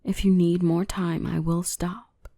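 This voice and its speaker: A woman playing a gentle, protective dark elf mistress in a soothing, reassuring ASMR roleplay, often whispering. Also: on the low side, little pitch movement, a little slow, no echo, clean studio sound. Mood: tender, reassuring.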